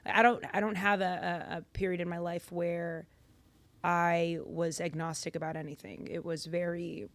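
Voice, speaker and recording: A young woman from Massachusetts, a podcast guest telling her story in casual chat, animated and louder for emphasis, on a studio boom mic. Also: normal speed, no room sound. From Massachusetts, United States. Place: podcast studio with purple lighting.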